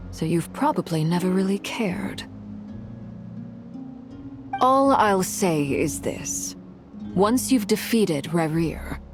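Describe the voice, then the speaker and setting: A commanding, self-possessed woman from a video game cutscene. She speaks slowly and formally in a fairly low voice, calculating but quietly caring, with music underneath. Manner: measured, formal, deliberate.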